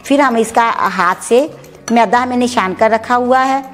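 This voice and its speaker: A woman's voice: fairly high pitch, unhurried, a little hiss or hum. Chatty woman teaching a home recipe. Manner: chatty, explanatory, mixes English words. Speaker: cooking channel host. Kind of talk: home cooking demonstration.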